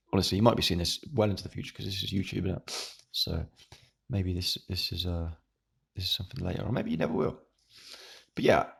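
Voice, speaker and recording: A young man with a croaky, hoarse voice, rambling through a casual monologue to camera at home. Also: sits low. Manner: rambling, meandering. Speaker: YouTuber.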